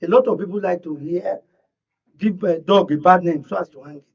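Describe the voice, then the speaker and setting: A man's voice: sits high, brisk, vocal fry, breathy edge. A man arguing his points as a commentator in a panel discussion. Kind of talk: political discussion. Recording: distant mic, dull sound.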